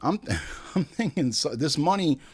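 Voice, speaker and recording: A man's voice: fairly high pitch, lively intonation, a little slow, breathy edge. A man hosting a podcast, giving opinionated, casual film commentary in an exclamatory, profane manner. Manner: profane, exclamatory, acts out imagined dialogue. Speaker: podcast host.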